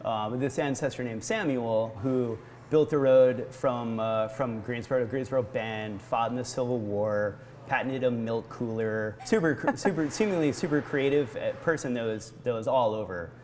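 An American man in his thirties from Vermont giving reflective interview answers, haltingly, searching for words. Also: medium pitch, a little mellow. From Vermont, United States. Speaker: brewery founder being interviewed. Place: indoor barrel room of a brewery. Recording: noticeable background room noise.

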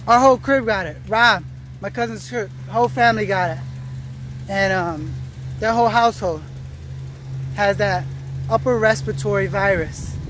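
High-pitched man rambling on a walk. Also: a bit bright. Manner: rambling, repetitive, self-interrupting, slangy. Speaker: vlogger. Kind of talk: casual walking vlog monologue. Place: outdoors on a roadside path. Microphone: phone held at arm's length. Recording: outdoor traffic and ambient noise.

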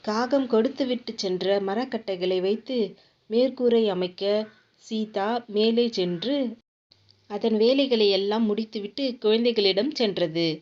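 A woman's voice: a little high, a little slow, a little mellow. A woman telling a children's story as its narrator. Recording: dull lo-fi audio.

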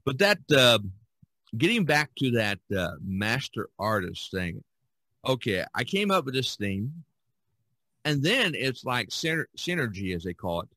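A man's voice: medium pitch, very animated, unhurried, sharp tone, no room sound. A man hosting a podcast in casual chat, rambling and often repeating himself. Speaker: podcast host.

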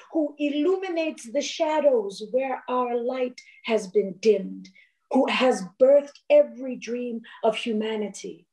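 A woman from South Africa reads her poem aloud over a video call from a room at home. Her voice is mellow and fairly high, and she shapes each line in a slow, rhythmic, declamatory way. The sound is a little distant. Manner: measured, declamatory, rhythmic delivery. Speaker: poet. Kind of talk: poetry recital. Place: home room with large window, indoors.

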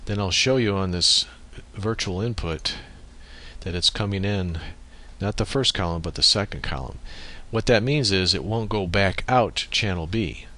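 A man giving a step-by-step software tutorial as screencast narration, speaking into a USB microphone. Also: deep voice, moderate pace.

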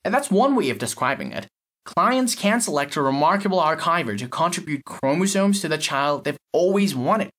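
A man acts out a cynical male lab technician for an audio short story. His voice sits a little high. He explains things bluntly and matter-of-factly, with a sardonic edge. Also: very animated, normal speed, fairly bright tone.

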